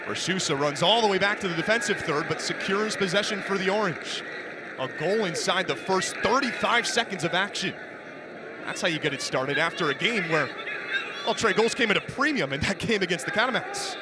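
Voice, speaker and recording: A man doing live sports play-by-play with an excitable, punchy delivery. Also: sits high, brisk. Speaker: play-by-play announcer. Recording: loud arena noise behind commentary.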